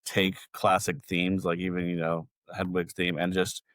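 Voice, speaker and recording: A man's voice: low voice, completely flat delivery, moderate pace, fairly bright tone, no echo, clean studio sound. An American man co-hosting a podcast, chatting casually and conversationally. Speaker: podcast co-host. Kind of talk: casual chat.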